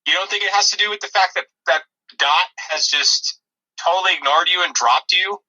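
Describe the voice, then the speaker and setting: Male comic podcaster: piercingly bright tone, aggrieved, stumbling, off-the-cuff banter delivery. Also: sits high, rough recording. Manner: defensive, halting, aggrieved. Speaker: comedy podcast co-host.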